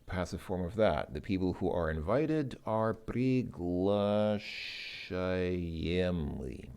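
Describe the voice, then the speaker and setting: A man talking through language lesson exercises informally, thinking aloud in a hesitant, halting, dry way. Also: low voice, lively intonation, darkish tone, clean tone.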